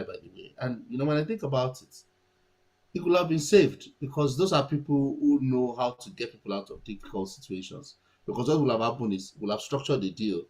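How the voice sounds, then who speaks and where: A man's voice: mid-range voice, rough recording. A man whose first language is Yoruba, giving casual market commentary and thinking aloud with many restarts and fillers.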